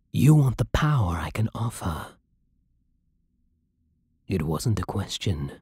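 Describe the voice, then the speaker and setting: A man playing a possessive, commanding vampire king in an intimate ASMR roleplay, with a hushed, breathy British delivery that is slow and deliberate. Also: lowish voice, dry recording, clean studio sound, full character voice.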